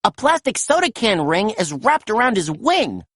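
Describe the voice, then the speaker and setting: A woman's voice: mid-range voice, sing-song, normal speed, a bit bright, husky, dry recording, studio quality, pitched above the performer's own voice. A woman voicing a confident, caring boy who leads rescue pups in a storybook, giving urgent instructions.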